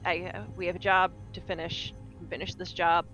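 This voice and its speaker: A woman's voice: fairly low pitch, level tone, brisk, a bit bright, rough recording. A woman taking part in a role-playing game, mixing casual table talk with acting as her character. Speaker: tabletop role-play player. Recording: music playing behind the voice. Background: music.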